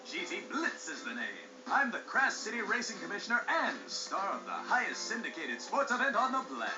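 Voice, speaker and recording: An actor playing a brash, showy TV race announcer and commissioner in a video game, with slick, bombastic delivery. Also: male voice, sits high, very animated, brisk. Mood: slick, threatening. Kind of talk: hyped sports-broadcast commentary. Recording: muffled game audio, echo, music underneath.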